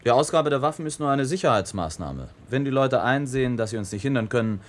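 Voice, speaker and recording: A man's voice: medium pitch, animated, quick pace, close to an everyday voice. An actor playing a stern expedition officer, sure of his superiority, in a German science-fiction radio play, speaking emphatically. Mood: dismissive.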